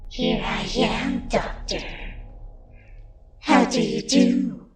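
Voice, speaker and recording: Man playing a gloating demoness, shifted high, raspy, crawling pace, distant echo, horror audio story. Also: heavily acted. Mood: taunting. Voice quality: raspy, sinister. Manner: slow, taunting, drawn-out. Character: sinister gloating female demon possessing a child. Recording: distant echoing voice over loud music. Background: loud music.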